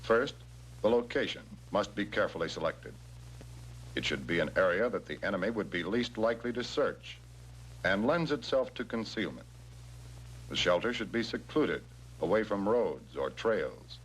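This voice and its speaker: American guy, lowish voice w lots of up and down, narrating like a 60s army training film, measured n instructional, bit of hum and noise on the old soundtrack. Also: lively intonation, a bit bright, rough recording. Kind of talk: scripted instructional narration, 1960s military film.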